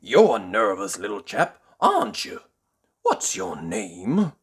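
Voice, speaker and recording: A storyteller voicing a kindly, gentle male tramp who speaks reassuringly in a storybook reading. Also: medium pitch, wildly swooping pitch, slow pace, breathy edge, exaggerated voice.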